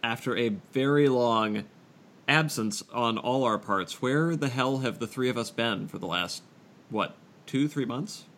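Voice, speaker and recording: A man's voice: medium pitch, measured pace, fairly bright tone. Casual male podcast host. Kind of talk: casual book club podcast chat.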